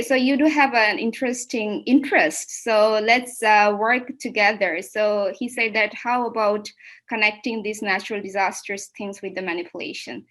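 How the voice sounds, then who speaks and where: A young woman with a Bangladeshi accent presents academic research as a PhD student, speaking in a halting way with repeated and rephrased words. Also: a little high, a bit bright. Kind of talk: academic seminar presentation, semi-spontaneous.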